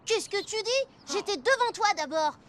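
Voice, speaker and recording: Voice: very high-pitched, animated, moderate pace, a little hiss or hum. An actor voicing a boastful, stubborn little boy in a children's cartoon, cocky and ready to bicker. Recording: loud music behind the voice.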